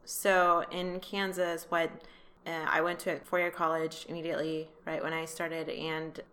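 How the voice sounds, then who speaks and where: A woman from Iran telling her personal story as an interview guest, speaking haltingly and repeating words as she thinks. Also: deep voice, level tone, a bit bright.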